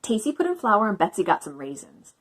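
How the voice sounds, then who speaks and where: A woman with a husky, breathy voice reads a storybook aloud. Her pitch bounces up and down as she brings the story to life. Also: big pitch swings, fairly quick. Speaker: guest reader. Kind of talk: read-aloud storybook narration.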